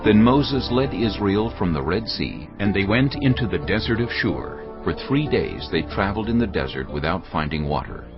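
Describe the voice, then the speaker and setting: A man narrating scripture in a measured, steady storytelling voice. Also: on the low side. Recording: muffled, with music underneath.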